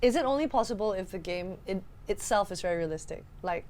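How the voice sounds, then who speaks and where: Woman, podcast co-host, medium pitch, casual group chat, animated exclamatory delivery. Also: fast talker, slight background noise. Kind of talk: casual group chat about films and games.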